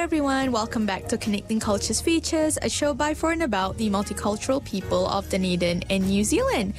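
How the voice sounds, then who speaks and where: A woman hosting a community radio interview, upbeat and welcoming. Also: medium pitch. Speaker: radio host.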